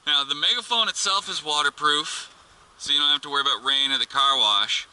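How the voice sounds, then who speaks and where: A man's voice: a little high, a little fast, pushed and bright. A man hosting a do-it-yourself vlog, explaining a hands-on job in an informal, offhand way with some crude slang. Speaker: vlogger, DIY car channel host. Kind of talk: casual hands-on how-to narration. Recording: noisy amateur camera audio with clicks.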